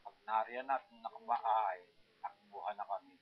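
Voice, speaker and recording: Husky older man. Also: fairly low pitch, steady tone, normal speed, phone-quality sound. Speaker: farmer recalling his working life. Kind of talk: casual reminiscing.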